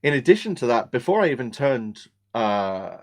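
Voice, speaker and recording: A man's voice: mid-range voice, very animated, moderate pace. A talkative man with an English accent, a voice actor, explaining things at length in a conversational interview. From born in England, grew up in England, Australia and America. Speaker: voice actor and screen actor, podcast guest. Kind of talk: conversational interview, telling personal history.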